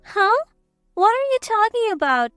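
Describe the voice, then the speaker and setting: Storybook role: a woman doing a high, sharp-voiced bossy older mother-in-law, cold and contemptuous. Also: big pitch swings, unhurried, no echo, clean studio sound, faint music behind, exaggerated voice. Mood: cold, commanding. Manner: commanding, contemptuous.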